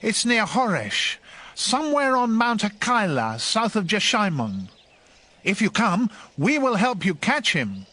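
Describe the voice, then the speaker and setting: An eager, fawning informer in a dramatized audio Bible. The high voice moves slowly but swoops up and down as it addresses a king. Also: huge pitch swings, pushed and bright, exaggerated voice.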